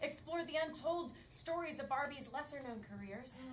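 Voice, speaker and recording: A woman's voice: sits high, animated, moderate pace, crowd noise. An American woman co-hosting a live improv show with playful, gushing comic banter. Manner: gushing, mock-grand, jokey. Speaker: co-host and improviser. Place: theatre stage with live audience. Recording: echoey, noisy live theatre recording.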